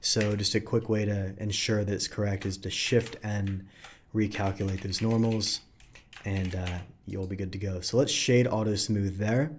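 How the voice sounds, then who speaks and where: A man hosting a 3D modeling tutorial, talking casually and thinking aloud as he works, his voice a little raspy from recording. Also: on the low side, moderate pace, slight background noise. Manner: relaxed, thinks aloud while working, frequent fillers. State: slightly raspy from long recording sessions.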